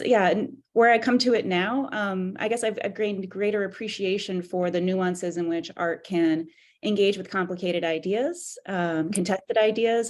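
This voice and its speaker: A young adult woman speaking as a panel guest in a measured, academic way. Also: lowish voice, a little mellow.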